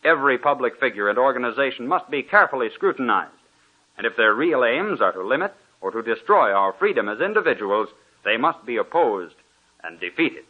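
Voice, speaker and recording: A man's voice: medium pitch, very animated, fairly quick, slightly rough. Formal 1940s radio announcer man. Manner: formal, declaiming. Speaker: sponsor announcer. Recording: tinny old radio broadcast.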